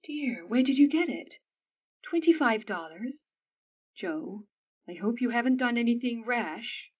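A woman playing a calm, loving mother of four who speaks gently and steadily. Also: on the high side, unhurried, husky, distant mic, highs cut off.

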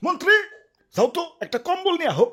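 A man's voice: very high-pitched, sing-song, fast talker, sharp tone, heavily acted. A man voicing a vain, boastful hilsa fish king in a cartoon, talking in a rustic, theatrical way. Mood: whiny. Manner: rustic dialect, bragging, theatrical. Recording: cartoon with music behind.

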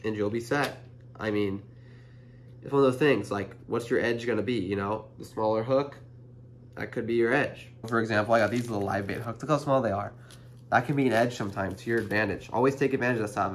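A man's voice: lowish voice, slight background noise. Chatty young man giving fishing tips. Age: young adult. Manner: chatty, filler-heavy, earnest with frequent "like" and "you know". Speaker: fishing channel host. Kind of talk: casual tackle advice to camera. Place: indoor room in front of a printed banner.

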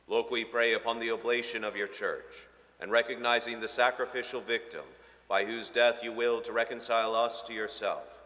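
Solemn priest at the altar, church reverb. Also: male voice, fairly low pitch, sharp tone. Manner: solemn, measured recitation with slowed key phrases. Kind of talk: liturgical prayer at Mass. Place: large marble church sanctuary, at the altar. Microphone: stand microphone at the altar.

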